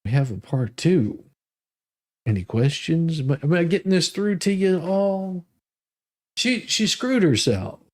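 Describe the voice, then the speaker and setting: An American man talks casually in a gravelly, fry-edged voice. He wanders through his commentary as a host, with a salty, sardonic manner. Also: fairly high pitch, darkish tone. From Detroit area, United States. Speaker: host of a humorous letter-reading show.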